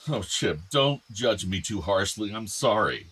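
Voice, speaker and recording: An actor playing an ailing, tender older father in a radio play, reflective and gently earnest. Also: lowish voice, expressive, unhurried, breathy edge, exaggerated voice. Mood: anguished regret. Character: ailing, tender, wistful aging father of two grown sons.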